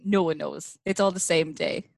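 An Irish woman guesting on a podcast, chatting in an animated, storytelling way with lots of filler words. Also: sits low, normal speed, gravelly, no echo, clean studio sound. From Ireland. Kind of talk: casual chat.

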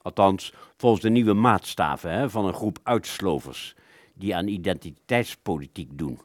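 Man acting a deep-voiced, aggrieved ghostly film director. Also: lively intonation, normal speed, dry recording, clean studio sound. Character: aggrieved, wordy ghost of an old-time Hollywood film director. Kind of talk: radio play acting.

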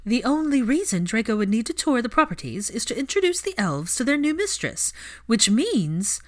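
A woman's voice: fairly high pitch, big pitch swings, moderate pace, pitched above the performer's own voice. A woman voicing a stern, imperious older aristocratic mother in an audiobook story, speaking formally and emphatically. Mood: imperious, probing.

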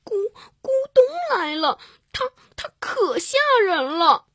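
A very high, bright and squeaky little rabbit played by a woman from a children's storybook. The timid creature is panicked and urgent, stammering as it cries out. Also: big pitch swings, unhurried, dry recording, clean studio sound, heavily acted. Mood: tearful, trembling.

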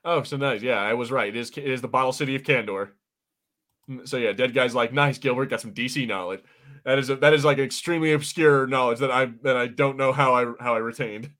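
A man's voice: mid-range voice, brisk, a bit bright. A man co-hosting a podcast, giving casual watch-party commentary in a chatty, slangy way with some swearing. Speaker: podcast co-host.

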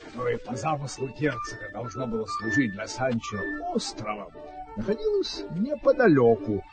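A man's voice: medium pitch, wildly swooping pitch, moderate pace, over loud music. An actor playing a genial storyteller who narrates a radio play to his audience in a theatrical way. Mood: genial storytelling.

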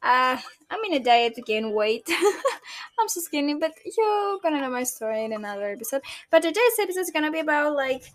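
A woman's voice: sits high, big pitch swings, a little fast. A woman in her early twenties from Greece with a Greek accent, chatting casually as a podcast host in a rambling, hesitant way. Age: early 20s. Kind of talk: casual solo podcast chat. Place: bedroom at home. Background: chill R&B background music.

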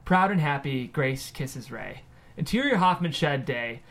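A man reading scripted screenplay narration and stage directions in a measured way. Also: mid-range voice, animated, a bit bright.

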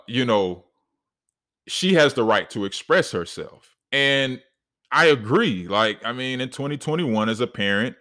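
A man in his thirties with a deep voice, hosting a solo podcast and talking earnestly, with many fillers and restarts. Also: sharp tone. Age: 30s. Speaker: podcast host.

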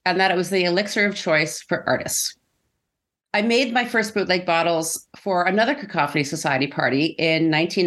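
A woman's voice: fairly low pitch, a bit bright. A middle-aged woman co-hosting a podcast in a casual, conversational way. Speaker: podcast co-host.

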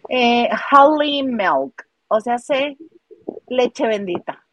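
High-voiced Mexican woman chatting gossip. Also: lively intonation, drawn-out words, a bit bright, low-quality recording. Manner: playful, teasing. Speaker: co-host of a celebrity gossip live stream. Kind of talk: casual gossip chat, reading viewer comments.

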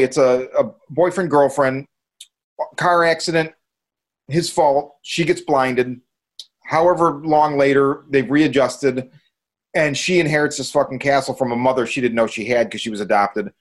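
A man reviewing movies casually as a podcast co-host, enthusiastic and swearing freely. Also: mid-range voice.

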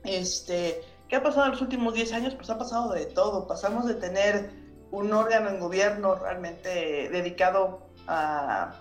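A Mexican woman editor, a guest in an interview, relaxed and self-deprecating, with a Mexican Spanish accent. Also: mid-range voice, normal speed, reverberant, soft background music. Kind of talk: casual interview.

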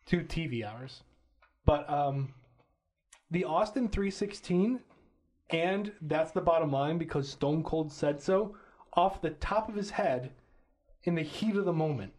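A man's voice: on the high side, unhurried, pauses often. A man co-hosting a casual comedy podcast, telling a story and acting out the voices of the people in it. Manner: animated storyteller acting out both sides of dialogue.

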